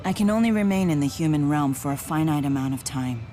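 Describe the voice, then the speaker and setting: A dignified spirit swordswoman in a video game. Her voice is notably low, formal and measured, steadfast in tone. Soft music sits behind her. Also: lively intonation. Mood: frank. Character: solemn, noble spirit woman with a sword.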